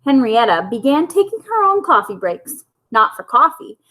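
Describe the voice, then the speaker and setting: A woman with a fairly high voice tells a story for children. She reads aloud as a school storyteller. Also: sing-song, moderate pace, bright, forceful tone.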